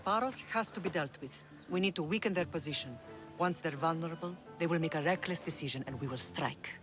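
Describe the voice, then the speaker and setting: A woman playing a dignified older Greek mother who rules an island, grave and measured, in a video game drama. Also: low voice, music in the background, close to an everyday voice. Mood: commanding.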